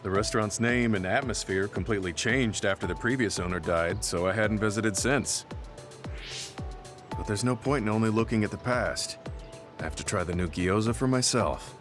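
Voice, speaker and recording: An actor playing a stoic, earnest veteran soldier in a video game, speaking in a serious, reserved, measured way. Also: male voice, on the low side, close to an everyday voice. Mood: calm, earnest. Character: stoic, earnest male veteran soldier, quietly grieving and resolute. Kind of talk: English video game voice acting. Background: soft background music.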